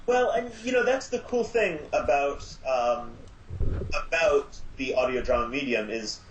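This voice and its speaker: A man speaking as an interview guest in casual chat, enthusiastic but halting and reflective. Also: mid-range voice, animated, reverberant, a little hiss or hum, poor audio. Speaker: podcast guest, audio drama maker.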